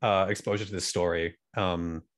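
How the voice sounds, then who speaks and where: A young Australian man speaking haltingly and reflectively on a panel over video call, close to a studio microphone. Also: low voice, fairly even intonation. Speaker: theatre cast or creative team member. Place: small room indoors, on a video call. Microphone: studio microphone on an arm, with headphones.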